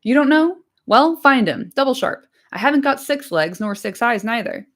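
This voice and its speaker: A woman voicing a fat, bustling, bald male innkeeper who talks quickly and without pause. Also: medium pitch, animated, lightly acted. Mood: shouting, impatient. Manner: brisk, talkative, hurried.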